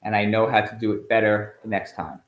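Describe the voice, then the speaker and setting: A middle-aged man, a school principal, reading a storybook aloud expressively and pausing with chatty asides and questions for children. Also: lowish voice, little pitch movement. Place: indoors at home. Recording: echoey room in a home.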